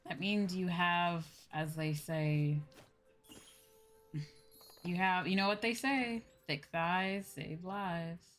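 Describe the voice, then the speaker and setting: A woman's voice: fairly low pitch, very slow, pauses often, a bit bright. A woman livestreamer chatting casually and informally with viewers while she plays a game, rambling in slangy speech. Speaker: livestreamer, VTuber host. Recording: music playing behind the voice. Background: game music.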